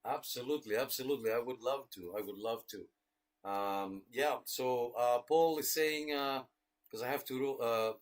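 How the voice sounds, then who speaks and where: A man's voice: mid-range voice, normal speed. A man hosting a casual livestreamed radio club roll call, greeting and thanking listeners in a friendly way. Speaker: host of a radio club check-in net.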